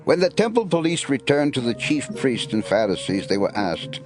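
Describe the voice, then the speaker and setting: A man's voice: mid-range voice, sing-song, normal speed, music in the background. A man narrating an audio Bible drama in a scripted storytelling style.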